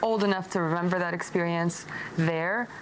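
A woman's voice: fairly low pitch, moderate pace, low-quality recording. A young woman from Syria talking openly and reflectively as a guest in a personal conversation, with an easy giggle. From Damascus, Syria. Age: young adult. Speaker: podcast guest, medical device engineer. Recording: clear background noise, ambient sound.

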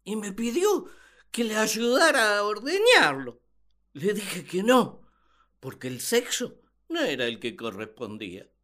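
A woman's voice: medium pitch, very animated, a little slow, a bit bright. A woman playing Adam as an exasperated, naive and plaintive first man in a comic radio drama.

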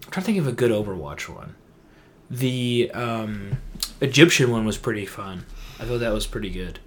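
A man's voice: lowish voice, lively intonation, normal speed, slight background noise. A man co-hosting a podcast, chatting casually about games in a rambling, slangy way. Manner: rambling, informal gamer slang. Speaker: podcast co-host. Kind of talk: casual gaming chat.

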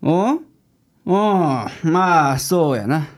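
A woman's voice: sits low, animated, extremely slow, full character voice. A woman playing a blunt, self-assured male detective with a Kansai accent in a radio drama. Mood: brusque. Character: gruff, cocky, unflappable male private detective.

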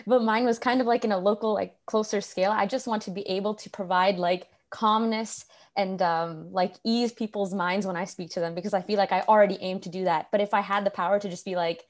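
Young woman, from India, podcast host, friendly, relaxed, casual chat. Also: mid-range voice, fairly quick, few pauses. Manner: friendly, relaxed, many fillers. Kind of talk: casual conversation.

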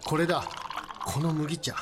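Earnest lovestruck salaryman, higher-pitched Kansai voice. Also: expressive, unhurried, heavy background noise. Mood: rapturous, earnest. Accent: Kansai Japanese. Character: earnest lovestruck salaryman regular at a tea shop. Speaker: radio drama actor. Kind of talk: comedic radio drama acting with dramatic monologue.

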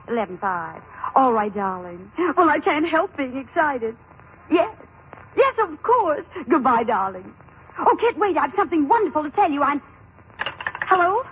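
An actress playing an excitable, easily wounded young married woman in a theatrical 1940s radio drama style. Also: high voice, moderate pace. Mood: excited, gushing. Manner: gushing, fretful, theatrical. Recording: muffled, noisy old radio recording.

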